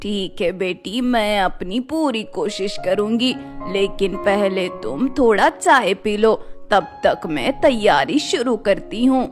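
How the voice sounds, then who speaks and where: Woman voicing a calm old sorceress granny, lower-pitched. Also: over music. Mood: calm, kindly. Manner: calm, gentle, unhurried. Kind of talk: cartoon fairy-tale storytelling.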